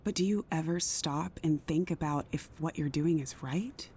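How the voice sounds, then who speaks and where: A woman's voice: deep voice, normal speed, mellow, slight background noise. A woman playing a worried, stubborn, principled council official in a naturalistic drama. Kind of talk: naturalistic audio drama acting.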